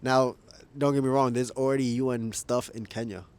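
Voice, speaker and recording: A man's voice: medium pitch, steady tone, moderate pace, darkish tone, clean tone. A man making a casual opinion vlog as a YouTuber, rambling, thinking aloud and correcting himself, with frequent chuckles. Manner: chuckles often while talking.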